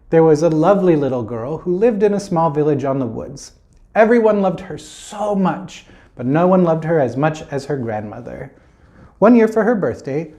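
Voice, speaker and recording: Man telling stories, slightly high voice. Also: dark tone. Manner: playful, chatty asides. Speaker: children's storyteller and librarian. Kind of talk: informal, improvised fairy-tale storytelling.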